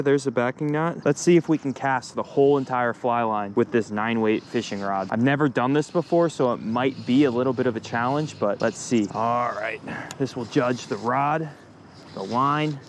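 A man hosting a fishing vlog outdoors, talking casually and informally to viewers with mild slang. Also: medium pitch. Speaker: fishing video host. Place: outdoors by a pond. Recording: noisy outdoor ambience.

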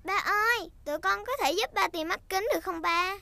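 Very high little girl pig. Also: brisk, vocal fry, bright, forceful tone, no room sound, full character voice. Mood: eager, bossy. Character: curious, eager little girl pig, bossy big sister. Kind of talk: dubbed children's cartoon acting.

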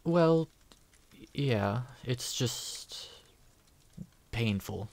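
A woman playing a kind, patient adventurer in a fantasy audio drama, talking in a gentle, casual, reassuring way. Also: extremely low pitch, expressive, drawn-out words, full character voice. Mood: gentle, wistful.

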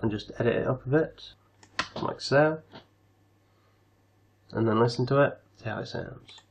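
A man hosting a casual software tutorial, explaining things step by step. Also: mid-range voice, unhurried, gravelly, highs cut off. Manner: step-by-step instructional. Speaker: tutorial host.

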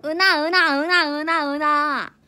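A woman's voice: steady tone, measured pace. A young woman in her twenties chatting playfully on a phone livestream, teasing and answering comments. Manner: playful and teasing, bursts into high shouts and laughs. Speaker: idol livestream host. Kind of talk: casual livestream chat reading comments. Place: small bright indoor room, leaning on a table. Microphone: phone held close at arm's length.

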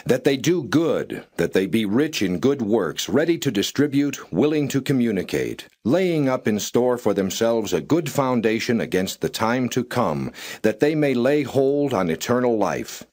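A man narrating the Bible as a straight, non-dramatized reading, in formal, measured and solemn phrasing. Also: medium pitch, very animated, a little mellow.

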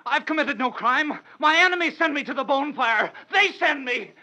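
Desperate condemned man, high-pitched, wildly swooping delivery, old radio drama. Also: animated, normal speed, loud music behind the voice. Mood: desperate, pleading. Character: desperate condemned man protesting his innocence. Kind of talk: 1940s radio acting. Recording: dull old radio broadcast.

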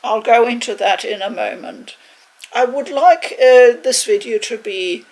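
An older woman, in her sixties or beyond, giving a measured, reflective video review in a conversational way, choosing her words carefully. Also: on the high side, gravelly, low-quality recording. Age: 60s or older. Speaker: game reviewer, video host. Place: living room, indoors.

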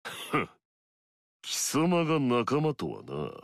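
A scornful warrior who craves battle. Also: male voice, mid-range voice, huge pitch swings, slow, no echo, clean studio sound, full character voice. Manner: curt, scornful, commanding. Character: arrogant mightiest male warrior, proud and battle-hungry. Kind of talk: video game story dialogue.

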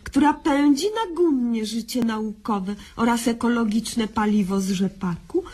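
A slow, high-voiced young doctor. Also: female voice, darkish tone. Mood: mock-solemn. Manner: mock-solemn, deliberate, flowery wording. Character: naive, eager young woman doctor narrating her absurd diary. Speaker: series lead. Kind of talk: satirical radio sketch narration and acting.

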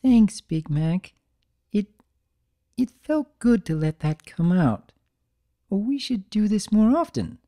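A man's voice: high-pitched, very animated, slow pace, pauses often, dark tone, pitched above the performer's own voice, exaggerated voice. A man voicing a timid, sweet young female pegasus pony, anxious and hesitant, stammering in a storybook reading. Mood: shyly relieved.

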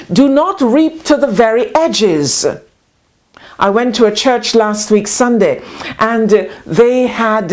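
Middle-aged woman bishop, measured unhurried sermon delivery, headset mic, small quiet room. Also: fairly high pitch. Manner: slow, deliberate preaching with spread-out phrasing. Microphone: headset mic, camera facing her across a table.

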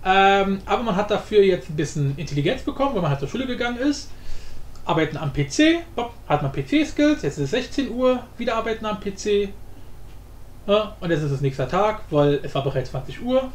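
A man with a high, animated voice presents an informal screencast lesson. He improvises and thinks aloud as he explains. The recording has noticeable room echo. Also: brisk. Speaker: tutorial host. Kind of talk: casual screencast tutorial. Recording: roomy echo, faint background noise.

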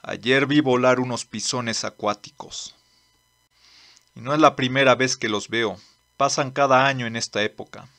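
Man voicing a gravelly, blunt old lawman. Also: mid-range voice, normal speed, bright, forceful tone. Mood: earnest, firm. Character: blunt, earnest old lawman seeking monsters' help. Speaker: voice actor in a manga dub. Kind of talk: dramatic dubbing.

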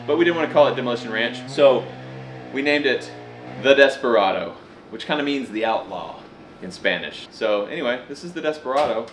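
A man's voice: a little high, fairly bright tone, reverberant, slight background noise. A man in his thirties giving a casual, joking walkthrough vlog of an old stone house, recorded on a camera mic. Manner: upbeat, joking, wry. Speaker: vlogger.

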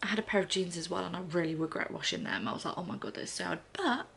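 A woman in her thirties chatting to camera in a soft, often half-whispered way on a living room sofa, a little congested. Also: on the low side, sing-song, fast talker, vocal fry, slight background noise. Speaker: vlogger. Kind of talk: casual morning chat to camera.

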